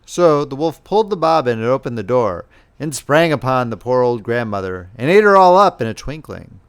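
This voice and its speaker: A man narrating a children's fairy tale as a scripted, expressive audiobook reading. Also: mid-range voice, moderate pace, smooth.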